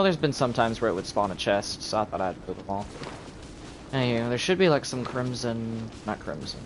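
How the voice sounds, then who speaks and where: Animated man streaming a video game. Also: mid-range voice, background noise. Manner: thinking aloud to himself. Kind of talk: casual gameplay commentary. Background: video game music.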